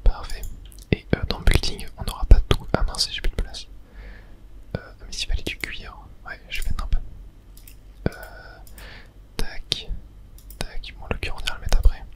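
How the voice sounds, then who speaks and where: A person whispering softly through casual ASMR video game commentary, murmuring play-by-play with little filler words. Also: measured pace, pauses often. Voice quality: soft unvoiced whisper. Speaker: gaming ASMR creator.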